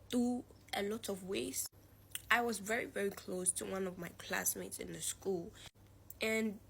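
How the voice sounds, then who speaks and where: A woman's voice: mid-range voice, a little hiss or hum. A teenage young woman telling a personal story in a candid, blunt, emphatic way, repeating phrases and using slang. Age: teens, 17. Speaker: student telling own story.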